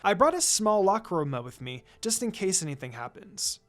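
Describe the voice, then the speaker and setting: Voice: mid-range voice, sing-song, moderate pace, faint music behind. A narrator reading a scripted first-person story aloud, acting out bits of dialogue along the way. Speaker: fan fiction narrator.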